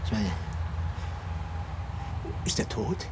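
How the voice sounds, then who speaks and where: An actor playing an anxious, fussy office man in a radio drama, stammering, repeating himself and sometimes dropping to a breathy near-whisper. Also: heavy background noise, close to an everyday voice. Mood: shaken, hesitant. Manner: stammering, halting, repeating words. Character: flustered, anxious, fussy male publishing editor under questioning. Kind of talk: German radio drama acting.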